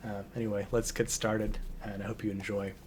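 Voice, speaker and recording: Hoarse, hesitant man reading poetry. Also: fairly low pitch, level tone, brisk, a little mellow, a little hiss or hum. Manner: hesitant, many ums and restarts. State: slightly hoarse voice. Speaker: poetry channel host. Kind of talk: casual intro and poetry reading.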